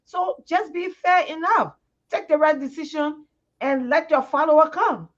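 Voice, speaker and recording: A Liberian woman with a fairly high voice makes her point on a live TV panel. She talks earnestly and repeats words as she hunts for them. The broadcast audio sounds dull and lo-fi. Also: unhurried. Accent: Liberian English.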